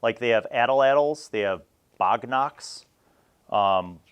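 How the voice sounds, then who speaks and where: A man's voice: medium pitch, slow, clean tone. A middle-aged man hosting a casual review, chatting informally and thinking aloud with rambling asides. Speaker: host reviewing old gaming magazines.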